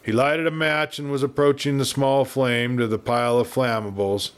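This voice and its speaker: Male audiobook narrator, American accent, medium pitch, measured and dramatic Western storytelling. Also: fairly even intonation, smooth.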